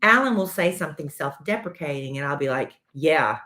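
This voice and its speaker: A woman's voice: brisk, reverberant. A woman in her fifties co-hosting a podcast, chatting casually with her husband and telling stories animatedly. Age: 50s. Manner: animated storyteller, rising up in pitch when indignant. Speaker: podcast co-host. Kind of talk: casual chat with spouse.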